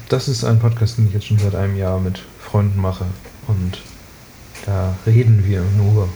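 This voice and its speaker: A man's voice: fairly low pitch, creaky, warm tone, a little hiss or hum. A German man hosting a casual solo podcast from his room, speaking informally and hesitantly as he thinks aloud. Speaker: podcast host. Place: his own room at home. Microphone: his usual podcast microphone.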